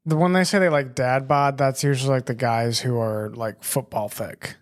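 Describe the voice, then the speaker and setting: A young American man with a medium-pitched voice. He chats casually on a podcast, talking as he would to an old friend. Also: fairly even intonation, normal speed. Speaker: podcast co-host. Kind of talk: casual chat.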